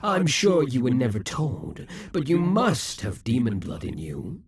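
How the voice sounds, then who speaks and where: A possessive demon king who schemes and broods, with a man doing the voice. He speaks to the listener slowly, in a husky, breathy tone. His pitch swings widely as he monologues for an audio roleplay. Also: wildly swooping pitch, heavily acted. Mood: sly.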